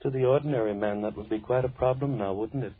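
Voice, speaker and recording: An actor playing a politely menacing madman who holds two girls captive, speaking in a measured, mannered way in an old-time radio drama. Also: mid-range voice, slightly rough, dry recording, lightly acted. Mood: calmly threatening. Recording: muffled lo-fi vintage radio recording.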